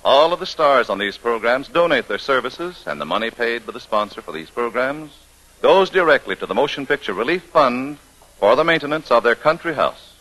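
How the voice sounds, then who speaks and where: A man's voice: mid-range voice, fairly bright tone, low-quality recording. A man announcing a 1940s radio show in a formal, declamatory style. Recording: old radio broadcast with music.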